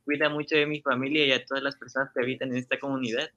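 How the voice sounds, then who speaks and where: A man's voice: medium pitch, flat delivery, very fast, pushed and bright, muffled recording, a little hiss or hum, lightly acted. A man playing an earnest, obedient young schoolboy in a radio drama, with a pleading, affectionate and polite manner. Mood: hushed, prayerful. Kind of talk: amateur Spanish-language radio drama acting.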